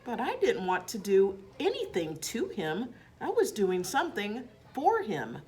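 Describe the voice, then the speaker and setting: A woman's voice: mid-range voice, huge pitch swings, unhurried, a little mellow, soft background music, pitched above the performer's own voice. A woman voicing a clever, kindly, self-satisfied little classroom pet who narrates a storybook. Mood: sly, sympathetic.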